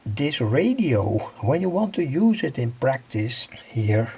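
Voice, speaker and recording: A man presents a technical how-to in an informal, halting style. His pace is very unhurried and his voice is rough and husky, with plenty of fry in it. He leans often on short filler words as he explains. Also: mid-range voice, big pitch swings, darkish tone. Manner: halting, repeats filler phrases like "say". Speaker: presenter of electronics project videos. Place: at home, indoors.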